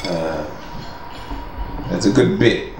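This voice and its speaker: A young man co-hosting a home cooking video, relaxed and dry-humored in his banter. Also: low voice, slow, gravelly. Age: young adult. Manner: laid-back, dry humor. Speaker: cooking show co-host. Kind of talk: casual cooking demo banter. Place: home kitchen, indoors. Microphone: camera mic at mid distance. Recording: echoey kitchen with faint background noise.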